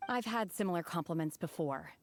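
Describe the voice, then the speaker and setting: Actress as aloof young adept lady in a game, lowish voice, solemn n measured. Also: lively intonation, very noisy, lightly acted. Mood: detached. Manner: reserved, measured, hesitant. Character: aloof, soft-spoken young woman adept, solemn and reserved. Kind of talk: video game character acting.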